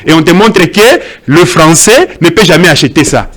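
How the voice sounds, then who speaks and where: A man's voice: fairly high pitch, lively intonation, moderate pace, fairly bright tone. A Congolese man whose first language is Swahili, making an impassioned debate speech full of rhetorical questions. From Congo (DRC). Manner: rhetorical questions, emphatic and preachy. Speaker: debate guest.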